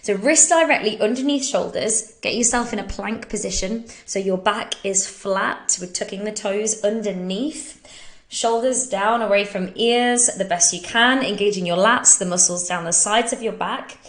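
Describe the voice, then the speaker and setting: A woman's voice: mid-range voice, moderate pace. An English woman hosting a fitness Q&A, chatty and upbeat, who laughs often, sounding a bit bunged up from hay fever while she talks through exercise technique. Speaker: fitness coach and podcast host. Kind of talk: casual live Q&A, talking through exercise technique.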